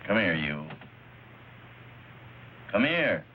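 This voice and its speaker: An actor playing a cool, commanding middle-aged crime boss in a hard-boiled 1940s film noir style. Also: male voice, sits low, sing-song, very slow, full character voice. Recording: noisy, dull old film soundtrack.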